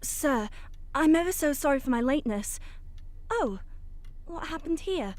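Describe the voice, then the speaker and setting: An actress playing a meek, apologetic nanny in a 1920s household for a radio drama, deferential and polite. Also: female voice, sits high, expressive, unhurried, noisy background. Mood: flustered, apologetic.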